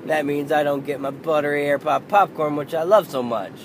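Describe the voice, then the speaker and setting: A man in his thirties hosting a casual solo podcast, hoarse and losing his voice, rambling and halting, swearing under his breath. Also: on the high side, background noise. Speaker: podcast host.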